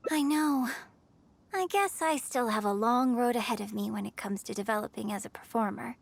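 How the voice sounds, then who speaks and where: A woman playing a graceful young opera performer in a video game, speaking in a poised, articulate, gently instructive way. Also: on the high side, normal speed, vocal fry. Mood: wistful. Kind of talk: scripted video game character dialogue. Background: music.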